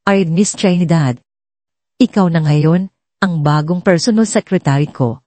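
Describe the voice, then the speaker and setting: A woman narrator plays a rich Filipino male boss in a story drama. He is bossy and brusque, giving orders in a mellow, lowish voice with a very dry sound. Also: dry recording. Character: curt, commanding male billionaire company boss.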